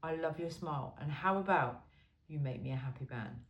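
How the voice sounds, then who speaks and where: A woman's voice: low voice, moderate pace, lo-fi sound. A woman reading a self-help book aloud in an informal, blunt and emphatic way.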